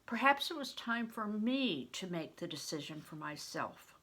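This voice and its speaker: Expressive older woman reading aloud, bright voice. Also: mid-range voice, normal speed, breathy edge. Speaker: storyteller reading a children's novel aloud. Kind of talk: expressive scripted narration.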